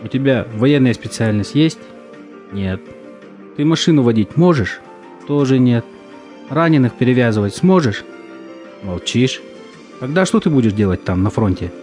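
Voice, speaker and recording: Man acting a gruff army commander, swooping delivery. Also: mid-range voice, animated, moderate pace, long pauses, darkish tone, close to an everyday voice. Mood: gruff, impatient. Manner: curt, commanding, interrogating. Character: gruff, weary military recruitment commander, stern with young volunteers. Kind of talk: stage-style audio drama acting. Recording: music under the voice.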